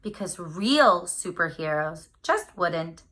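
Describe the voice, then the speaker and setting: A woman reading a picture book aloud to children, speaking to them directly with animated, drawn-out emphasis on key words. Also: on the low side, a little hiss or hum. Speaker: read-aloud storyteller for children.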